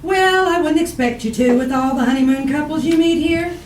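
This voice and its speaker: Woman playing a high-pitched nagging radio wife. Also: fairly quick, darkish tone, recorded from a distance, lightly acted. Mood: chatty. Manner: exasperated, chattering. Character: romantic, nagging wife, easily wounded, scolding. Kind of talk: old-time radio comedy acting. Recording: roomy, noisy old radio, off mic.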